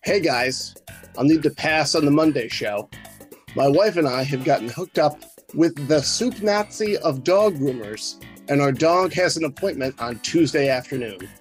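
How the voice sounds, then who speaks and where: A man speaking in a deadpan way on a podcast, as a contributor talking and reading aloud. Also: fairly high pitch, normal speed, faint music behind.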